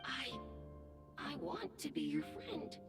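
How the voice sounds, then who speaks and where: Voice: high voice, lively intonation, slow, gravelly, full character voice. A woman voicing a timid, sincere little dragon in a video game, small-voiced and hesitant. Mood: shy, hopeful. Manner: hesitant, shy. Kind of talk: video game character acting. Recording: reverberant, distant, over game music.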